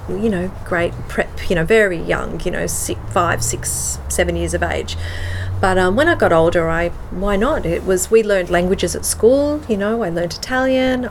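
Aussie woman being interviewed, lots of ums and pauses, slight background hiss. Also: on the low side, poor audio. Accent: Australian. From Australia. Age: adult with children. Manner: hesitant, many ums, switches between English and Slovenian. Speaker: guest. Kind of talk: casual interview.

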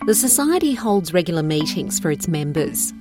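A woman's voice: lowish voice, sing-song, normal speed. A woman reading scripted documentary narration as a presenter. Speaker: podcast presenter and reporter. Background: background music.

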